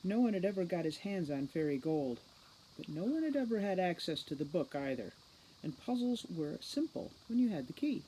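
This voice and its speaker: A woman reading a children's novel aloud as an expressive narrator. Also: deep voice, slight background noise, low-quality recording. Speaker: storybook reader.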